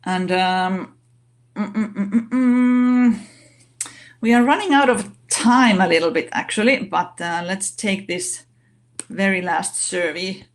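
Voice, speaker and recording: A woman speaking English as a non-native language, giving an online session talk in a halting way with many fillers and restarts. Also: medium pitch. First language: not English. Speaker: organization vice president giving a talk.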